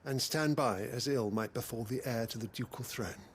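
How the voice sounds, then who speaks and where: A male actor plays a courteous investigator in a fantasy game. His voice is medium in pitch, over faint background noise. He speaks formally and earnestly, with dutiful worry. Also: moderate pace, natural voice. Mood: battered but resolute. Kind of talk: video game voice acting.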